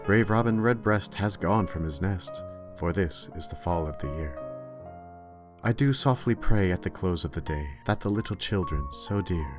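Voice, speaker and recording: A man with a deep voice reads poetry aloud. His delivery is expressive and measured, and music plays clearly beneath him. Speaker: poetry reader. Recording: music under the voice.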